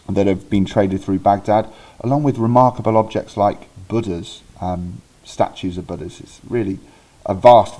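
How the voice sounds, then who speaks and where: A relaxed man with a low, mellow voice, talking through a history lesson the way a teacher does at his desk. He keeps pausing on ums, breaks off to fix a date or a word, then carries on in a friendly, chatty tone. The delivery is unscripted and easygoing. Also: low-quality recording. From Wales. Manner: chatty, self-correcting, with frequent ums and restarts. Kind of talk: informal recorded history lesson.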